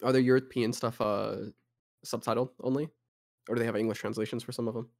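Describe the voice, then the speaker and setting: Fast-talking young man on a casual podcast. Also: mid-range voice, flat delivery, gravelly, darkish tone. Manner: rambling, casual, heavy swearing. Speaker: podcast co-host. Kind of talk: casual chat.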